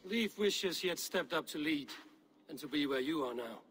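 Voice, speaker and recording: An actor playing a stern Viking warrior in a video game, questioning people in a measured, grave way. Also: male voice, a little high, animated, a bit bright, slight background noise, rough recording. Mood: grave, reporting. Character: stern probing Viking warrior investigating a betrayal. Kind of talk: video game cutscene dialogue.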